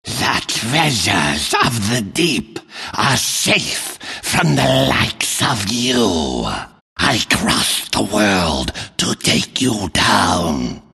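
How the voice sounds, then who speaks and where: Menacing undersea fish-man sentinel, game character, harsh husky rasp, wildly swinging pitch, proud and grim. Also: heavily acted. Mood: gloating. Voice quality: gravelly, raspy growl. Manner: slow, forceful, menacing delivery. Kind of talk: video game character voice lines.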